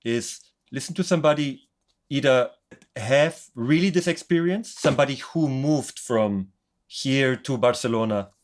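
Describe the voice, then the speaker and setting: A man from Poland who grew up in Germany speaks as a podcast guest. His voice sits at a medium pitch, and his comes out upbeat and decisive. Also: a little slow. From Poland, raised in Germany. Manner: upbeat and enthusiastic, speaks English as a second language. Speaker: guest, music producer and author. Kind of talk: casual interview chat.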